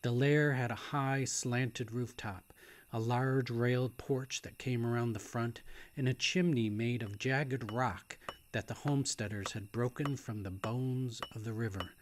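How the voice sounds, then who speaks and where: A man reading a novel aloud as a dramatic narrator, in a hushed, deliberate and suspenseful way that at times nears a whisper. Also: mid-range voice, steady tone. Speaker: author reading own novel. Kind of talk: dramatic audiobook-style story narration.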